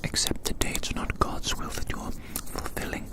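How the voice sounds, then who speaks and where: Whispering, gloating giant in ASMR roleplay. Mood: domineering, gloating. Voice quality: breathy, unvoiced whisper. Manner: repeats phrases slowly, savouring, menacingly playful. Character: gloating giant toying with tiny prey before eating it. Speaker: ASMR performer.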